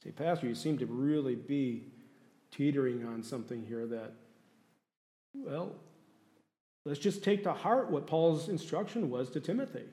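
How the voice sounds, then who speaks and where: A man's voice: mid-range voice, animated, unhurried, pauses often, warm tone. A male pastor preaching a sermon in a conversational way, often asking the congregation questions. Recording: echoey room.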